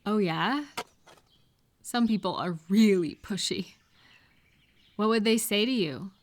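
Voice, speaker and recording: A woman's voice: mid-range voice, slow pace. A woman speaking conversationally to one listener in a supportive advice roleplay, reassuring and explanatory. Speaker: audio roleplay performer giving supportive advice.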